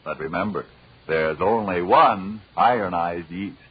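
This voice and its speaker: Male vintage announcer, polished and emphatic, rough-edged low voice, old radio recording. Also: unhurried. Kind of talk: 1940s radio announcing and sponsor pitch. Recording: muffled, husky-sounding 1940s radio recording.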